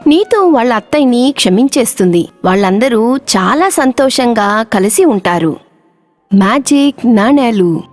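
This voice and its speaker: A woman tells a children's moral tale as a scripted narrator. Her voice is on the high side, and soft music plays behind her. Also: lively intonation, unhurried, no room sound, studio quality. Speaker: storyteller, narrator.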